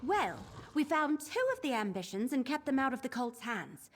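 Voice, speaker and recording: Spirited young adventurer, confident chatter, lively high voice. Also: female voice, normal speed, slight background noise. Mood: upbeat, determined. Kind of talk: video game voice acting. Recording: reverberant, faint background ambience.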